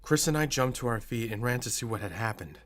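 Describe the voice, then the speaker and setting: A man narrating a scripted first-person horror story in a measured storyteller's delivery. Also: mid-range voice, expressive, a bit bright.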